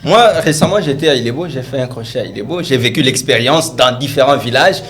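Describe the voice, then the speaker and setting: A man's voice: mid-range voice, expressive, quick pace, fairly bright tone. A man with a Congolese accent co-hosting a casual studio chat, enthusiastic with short interjections.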